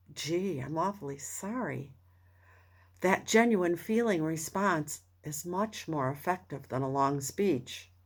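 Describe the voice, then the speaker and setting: A woman with a low voice reads a self-help audiobook at a slow pace. Her delivery is earnest and deliberate, using pauses and expressive emphasis to set off important phrases. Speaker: audiobook reader. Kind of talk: expressive scripted reading of a self-help book.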